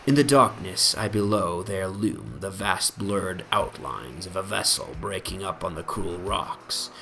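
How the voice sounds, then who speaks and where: A man's voice: low voice, vocal fry, background noise. A man narrating a horror fantasy story in a solemn, theatrical dramatic reading. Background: prominent music soundscape.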